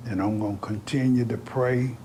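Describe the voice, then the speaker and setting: A man's voice: mid-range voice, fairly even intonation, normal speed, mellow, a little hiss or hum. An older man, a reverend speaking informally in a news interview.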